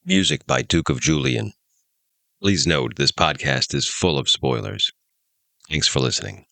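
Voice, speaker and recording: A man reading a podcast's scripted intro in a slow, measured way. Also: rumbling low, huge pitch swings, creaky, sharp tone. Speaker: show intro announcer.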